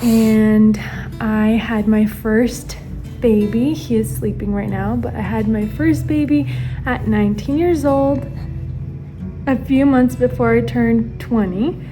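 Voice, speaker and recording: A woman's voice: a little high, slow, music in the background, low-quality recording. A woman in her early twenties, a stay-at-home mom born in Ukraine and raised in the US, chatting earnestly to the camera while she does her makeup. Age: 20s. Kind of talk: casual get-ready-with-me chat to camera. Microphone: camera facing her, she watches herself as a mirror.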